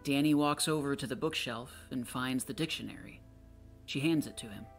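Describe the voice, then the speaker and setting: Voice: medium pitch, moderate pace, faint music behind. A narrator of unclear sex reading scripted stage directions.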